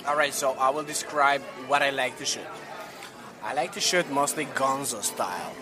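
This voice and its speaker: A man's voice: medium pitch, normal speed, music in the background. A young man with a Serbian accent, speaking English carefully in a casual interview over lunch in a hotel restaurant. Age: young adult. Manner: careful, searching for words. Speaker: guest.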